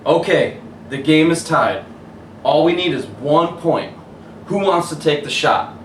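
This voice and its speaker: A man's voice: a little high, lively intonation, unhurried, voice pushed up. A man voicing a confident, upbeat older brother rallying his team in a children's storybook. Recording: roomy, distant mic, faint hiss.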